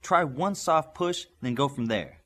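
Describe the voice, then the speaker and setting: A man's voice: fairly high pitch, animated, unhurried, a bit bright. A man voicing a young speedster boy in a superhero audiobook, encouraging, matter-of-fact and explanatory. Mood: reassuring. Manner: reassuring, explanatory.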